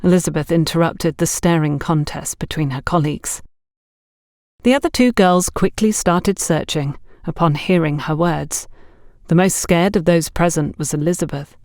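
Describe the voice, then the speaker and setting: A woman's voice: sits low, normal speed, a little mellow, no room sound, studio quality. A woman reading a scripted story as an audiobook narrator.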